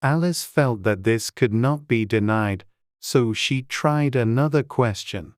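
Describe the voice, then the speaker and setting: A woman narrating a storybook audiobook from a script in a measured, unhurried way. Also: very deep voice, a little mellow, dry recording, studio quality.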